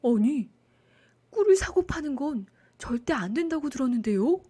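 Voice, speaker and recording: A man's voice: very high-pitched, sing-song, moderate pace, dark tone. A woman voicing a naive but crafty young bachelor in a Korean folktale storybook. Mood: surprised.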